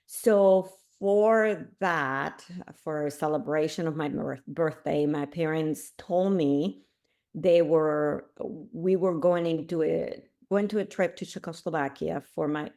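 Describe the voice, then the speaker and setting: A middle-aged woman from Cuba, a podcast guest, telling her life story in an animated way, with restarts and laughs. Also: low-pitched, unhurried, creaky.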